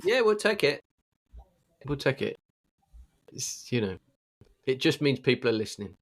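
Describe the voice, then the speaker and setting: A man's voice: mid-range voice, sing-song, normal speed. A man with a British accent chatting casually as a podcast co-host, given to jokey, sarcastic banter. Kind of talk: casual chat.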